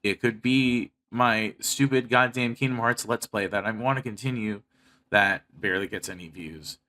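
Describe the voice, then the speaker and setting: A man's voice: lowish voice, normal speed, pushed and bright, slight background noise. A man of thirty speaking earnestly and with heavy swearing in a personal monologue to his online audience. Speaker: online video creator making comic dubs and skits.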